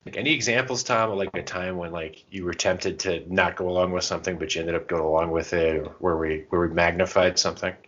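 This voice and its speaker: Very deep-voiced man, casual podcast co-host. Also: fairly even intonation, quick pace, few pauses, a bit bright. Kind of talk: casual conversational advice and anecdotes. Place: podcast recording. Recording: dull, muffled lo-fi sound.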